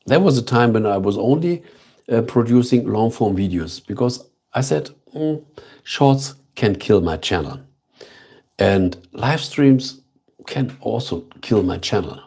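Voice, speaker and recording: A man with a German accent hosting a casual live stream, chatting slowly and thoughtfully with viewers and repeating himself between fillers. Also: on the low side, lively intonation, darkish tone, breathy edge. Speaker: livestream host.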